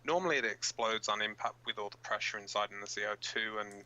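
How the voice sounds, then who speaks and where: A man playing a flustered, blunt resistance fighter who co-hosts a radio broadcast in an amateur audio drama, speaking informally and haltingly. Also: fairly low pitch, pushed and bright, rough recording. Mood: earnest explaining. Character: flustered, sweary young male resistance fighter reading radio bulletins. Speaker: radio co-host in a fiction drama.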